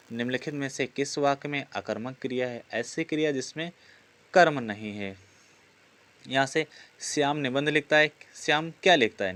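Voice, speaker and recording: A man's voice: mid-range voice, fairly bright tone. A man teaching a lesson through practice questions, often asking rhetorical questions and answering them himself. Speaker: Hindi grammar teacher for exam preparation.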